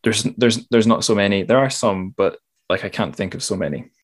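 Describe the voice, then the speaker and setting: A man with a fairly low voice hosts a casual interview. He thinks aloud and rambles a little, rewording his points as he goes. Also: a little fast. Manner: rambling, self-correcting, thoughtful. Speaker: podcast host interviewing a guest.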